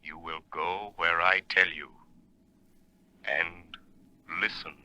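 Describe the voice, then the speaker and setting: An actor playing a menacing, commanding phantom avenger in a 1930s radio drama, speaking deliberately and ominously. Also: male voice, low-pitched, steady tone, pushed and bright, heavily acted. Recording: old 1930s radio recording.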